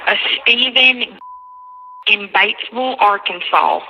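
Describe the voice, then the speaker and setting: A woman on a recorded jail phone call, recounting a conversation in a halting, repetitive way and quoting others at length. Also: a little high, background noise. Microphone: telephone handset.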